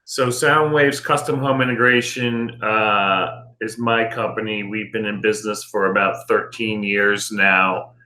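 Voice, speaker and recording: A man's voice: lowish voice, fairly even intonation, measured pace, hardly any pauses, pushed and bright. A middle-aged American man giving conversational answers as an interview guest and business owner.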